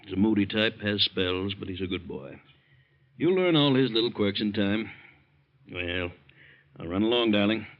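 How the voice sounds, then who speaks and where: An actor playing a stern middle-aged district attorney and father in an old-time radio drama, brisk, authoritative and paternal. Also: on the low side, animated. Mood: calm, paternal. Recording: dull vintage radio recording.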